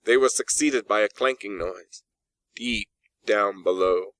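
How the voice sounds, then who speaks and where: Low-voiced man narrating a classic story, dry sound. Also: measured pace, a bit bright, no room sound. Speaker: audiobook narrator. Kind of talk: scripted storybook narration of a classic ghost tale.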